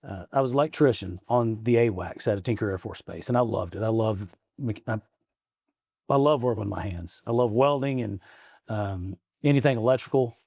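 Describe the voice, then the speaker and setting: A man's voice: on the low side, normal speed, a little mellow, no room sound, studio quality. A man in his forties from Arkansas, a guest in a casual interview, talking in a rambling way, restarting his stories and chuckling often. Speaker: guest, state representative. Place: office in the state capitol.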